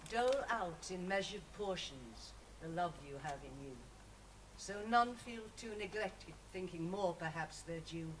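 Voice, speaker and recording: A woman's voice: lowish voice, expressive, measured pace. A woman reciting her own poems to an audience at a live reading. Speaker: poet. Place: live festival reading, picked up from a distance. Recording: noisy live recording, distant mic.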